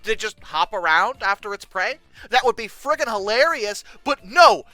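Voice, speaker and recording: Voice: medium pitch, animated, normal speed, pushed and bright, no echo, faint music behind. A sarcastic, exasperated host doing comedic reaction commentary, given to mock outrage. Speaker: host of a paleontology commentary show. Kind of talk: comedic reaction commentary, reading aloud and critiquing.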